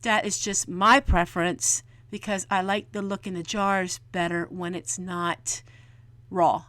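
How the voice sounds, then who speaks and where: A woman's voice: lowish voice, fairly even intonation, unhurried, fairly bright tone. A middle-aged woman giving a casual, folksy homestead how-to talk, chatty and explanatory. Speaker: home canning vlogger.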